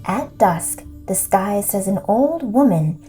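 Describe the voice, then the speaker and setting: A woman reading a children's story aloud as narrator, with deliberate phrasing. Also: mid-range voice, animated, dark tone, low-quality recording. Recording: music behind the voice.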